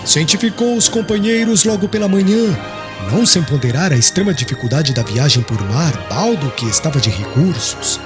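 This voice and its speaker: A man's voice: on the high side, expressive, moderate pace, over music. A man narrating an audiobook in a solemn, literary style.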